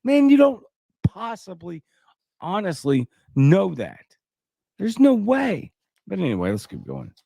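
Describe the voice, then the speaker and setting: A man's voice: high-pitched, huge pitch swings, unhurried, vocal fry, pauses often, mellow, no room sound, studio quality. A man with a Cajun accent hosting a casual livestream, reading news and viewer comments with skeptical, rambling asides. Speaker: livestream host.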